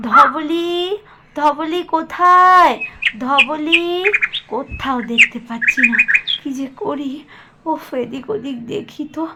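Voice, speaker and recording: A woman's voice: high voice, heavy background noise. A woman voicing a distraught, anxious village sister-in-law who calls out and laments repetitively in a puppet cartoon. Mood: anxious searching. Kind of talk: Bengali puppet cartoon voice acting.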